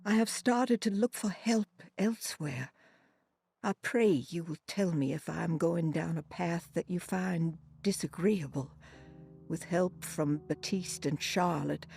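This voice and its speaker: A solemn devout woman in a game, slow and husky, kinda troubled and prayerful. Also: on the low side, full character voice. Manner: solemn, hushed, reverent delivery. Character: devout, troubled woman seeking solace in voodoo, praying. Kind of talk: dramatic video game monologue.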